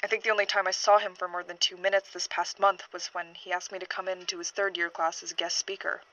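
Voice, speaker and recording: A woman playing a troubled university scientist giving an earnest, halting witness statement in a horror audio drama. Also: fairly low pitch, level tone, bright, forceful tone, low-quality recording. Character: troubled female university biologist reporting a missing colleague.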